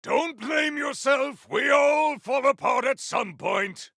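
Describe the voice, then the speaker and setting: A man voicing a grandiose, battle-hungry warrior in a video game, with a rough, gravelly voice and bombastic, theatrical delivery. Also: very high-pitched, takes it slowly, sharp tone, no room sound, shouting, clean studio sound, full character voice. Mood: battle fury. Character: grandiose, battle-hungry male warrior with fire and ice powers. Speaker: playable game character. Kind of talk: video game combat barks.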